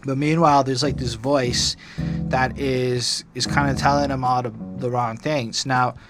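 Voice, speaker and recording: A man co-hosts a music review podcast with a mid-pitched voice. He talks casually and at length, working through his opinions in an analytical, rambling way. Guitar music plays clearly behind him. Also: level tone, measured pace. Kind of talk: casual opinionated album discussion.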